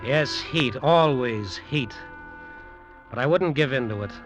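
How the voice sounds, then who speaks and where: An actor playing a brash, cocky, short-tempered hotel developer in slangy, emphatic old-time radio drama style. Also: male voice, medium pitch, expressive, takes it slowly. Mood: hard-bitten narrating. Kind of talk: old-time radio drama acting with first-person narration. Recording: vintage radio drama, music behind.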